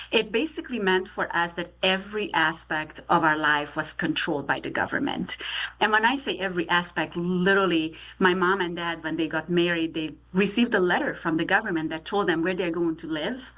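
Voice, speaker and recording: A middle-aged woman from Romania with a Romanian accent, telling her life story as a guest in an earnest, steady way. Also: on the low side, poor audio. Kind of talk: testimony interview.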